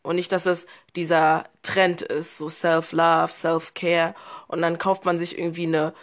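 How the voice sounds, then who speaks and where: A woman's voice: fairly low pitch, level tone, a little slow. A woman in her early twenties with a Berlin accent, a podcast guest talking thoughtfully with hesitations and fillers. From Germany. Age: 20s. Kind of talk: casual conversation.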